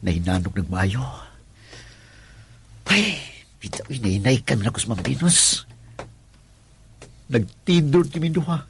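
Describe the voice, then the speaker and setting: A man's voice: medium pitch, sing-song, measured pace, creaky, long pauses, a little hiss or hum. A man acting a nervous, lustful young security guard in a radio drama, stammering and exclaiming with a Cebuano accent. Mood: guilty, excited.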